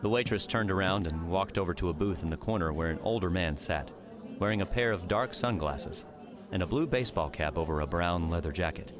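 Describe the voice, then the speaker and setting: A man narrating a scripted audiobook story in a measured, dramatic storytelling manner. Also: deep voice, music in the background.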